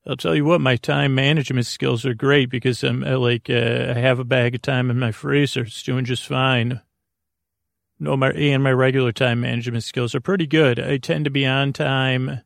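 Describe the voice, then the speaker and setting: A man hosting a podcast in a rambling, whimsical bedtime monologue, halting and stammering, trailing off mid-thought with deadpan, absurd asides. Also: medium pitch, creaky, no echo, clean studio sound. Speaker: podcast host.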